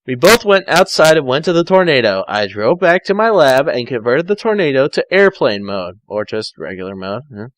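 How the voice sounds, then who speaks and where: A man reads a story aloud as an amateur narrator. His delivery halts and backs up over phrases, with very lively intonation. The recording is dry and close. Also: medium pitch, no room sound. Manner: halting, often rereading phrases. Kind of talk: amateur first-person story reading.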